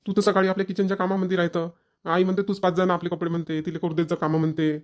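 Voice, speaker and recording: A performer plays a grown village son and husband in a cartoon, speaking fast with a rural Maharashtrian accent. He is colloquial and insistent, caring yet a little nagging. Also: mid-range voice, flat delivery, lightly acted. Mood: casual, grumbling. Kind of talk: Marathi family cartoon voice acting.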